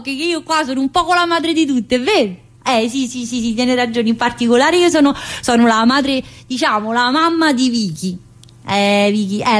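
A woman's voice: fairly high pitch, lightly acted. An actress playing a chatty, wistful mother in prison, in a colloquial theatrical monologue with a Southern Italian, Neapolitan-tinged accent. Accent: Southern Italian, Neapolitan-influenced. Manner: colloquial, addressing listeners with frequent tag questions.

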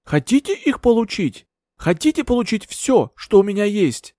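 A man's voice: high voice, sing-song, mellow, dry recording, clean studio sound, voice pushed up. A man voicing a scheming older female servant who taunts and talks in a rush, as if in a fever. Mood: feverish. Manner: rapid, sly, taunting.

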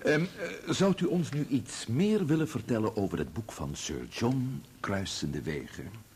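A man playing a formal, probing barrister in a radio courtroom drama, with a deliberate, theatrical delivery. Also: medium pitch, very animated, darkish tone, a little hiss or hum. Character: formal, probing male barrister examining a witness. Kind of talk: radio play courtroom acting.

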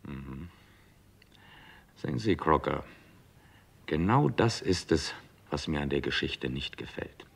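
Deep-voiced, deliberate chief inspector. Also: male voice, lively intonation, vocal fry, breathy edge, full character voice. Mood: pensive. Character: deliberate, cautious, commanding male chief police inspector. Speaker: actor in a radio crime play. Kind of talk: 1960s German radio drama acting.

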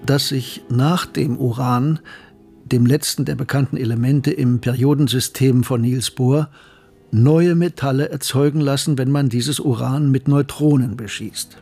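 An actor playing a sober male narrator who recounts wartime history in a measured, factual way, with a husky voice. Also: mid-range voice, fairly even intonation, a little mellow, soft background music. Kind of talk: scripted narration in a radio play.

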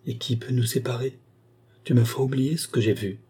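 A man's voice: medium pitch, fairly even intonation, fairly quick, dark tone, breathy edge, voice pushed up. A man voicing a passionate, anguished adulterous wife in a storybook, pleading in a desperate, melodramatic way. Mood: tormented. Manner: melodramatic, imploring.

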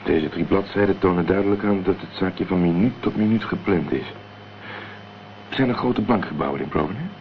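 Cool, terse investigator, very low gravelly voice, determined, radio thriller, dull noisy old recording. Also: male voice, moderate pace. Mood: analytical. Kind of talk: Dutch radio drama acting.

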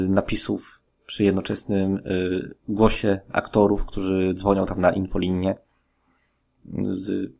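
A man giving a casual review as a podcast guest, hesitant and halting as he thinks aloud. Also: fairly low pitch, vocal fry, a little mellow.

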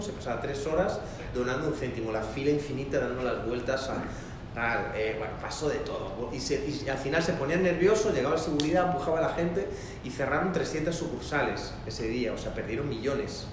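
A man teaching a workshop in a loose, rambling lecture style, with a mixed Spanish and Argentine-sounding accent and many restarts. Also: mid-range voice. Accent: mixed, part Argentine-sounding Spanish. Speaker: workshop instructor. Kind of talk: classroom lecture. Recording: very echoey room with noise.

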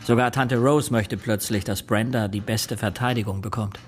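An actor playing an earnest young fiancé who narrates a murder mystery radio play in a measured, serious way. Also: male voice, fairly low pitch, steady tone, soft background music, lightly acted. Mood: concerned. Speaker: narrator and lead character. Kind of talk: crime radio drama narration and dialogue.

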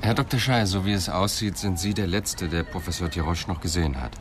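Deep, dry police detective played by a man. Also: fairly quick, slight background noise, lightly acted. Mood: probing. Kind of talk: radio drama dialogue.

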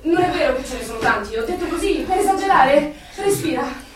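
A woman's voice: sits high, lively intonation, fairly quick, a loud crowd. An actress playing a bold, defiant young woman in a radio play, with a direct and firm way of speaking. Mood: soothing, urgent. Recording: very echoey room, distant mic, faint noise.

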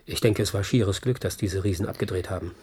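An actor playing a sober, matter-of-fact investigator in a German radio play, husky and measured in his questioning. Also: deep voice, warm tone. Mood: sober, inquiring.

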